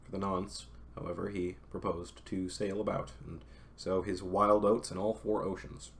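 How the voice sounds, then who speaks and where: Low-voiced young man reading aloud. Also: flat delivery, vocal fry, slight background noise. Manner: unhurried reading broken by chatty, self-correcting asides. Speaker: streamer reading a novel aloud. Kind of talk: reading a classic novel aloud with casual asides to chat.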